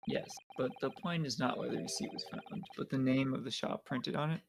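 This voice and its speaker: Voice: medium pitch, brisk, vocal fry, a little hiss or hum, lightly acted. A player voicing an earnest, polite young male defense lawyer who speaks hesitantly and formally, in a fan dub of a game.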